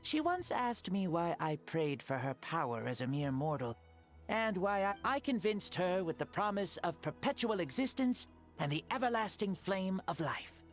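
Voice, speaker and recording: A man's voice: high voice, very animated, moderate pace. An actor voicing a breezy, self-mocking ancient male hero spirit who is borrowing a boy's body, in a game. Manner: easygoing, self-mocking, playful. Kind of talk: game voice acting. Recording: game audio with music under.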